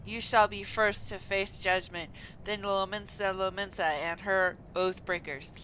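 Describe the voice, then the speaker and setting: A woman's voice: medium pitch, measured pace. Woman voicing a zealous kobold priest, noisy recording. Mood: stern, condemning. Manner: declamatory, chanting, repetitive. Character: zealous, accusing kobold priest chanting against surface dwellers. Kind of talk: dramatic read-aloud of fantasy game dialogue. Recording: noisy room, steady background noise.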